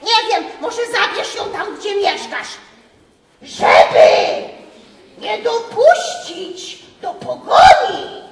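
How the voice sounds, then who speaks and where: An actress playing a meddling, folksy wise woman in an improvised children's play, theatrical and drawn-out, questioning her audience. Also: extremely high pitch, wildly swooping pitch, recorded from a distance, very noisy, exaggerated voice. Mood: bossy, advising. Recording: roomy hall with music behind.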